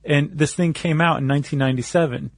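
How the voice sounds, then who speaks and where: A man's voice: fairly high pitch, fairly even intonation, fast talker, gravelly, no room sound, studio quality. A man co-hosting a podcast, explaining things step by step in a casual conversational way. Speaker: podcast co-host.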